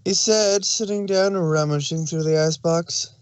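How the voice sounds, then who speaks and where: A man's voice: a little high, moderate pace, clear, resonant tone, dry recording. A man narrating a story aloud as a scripted fan fiction reading.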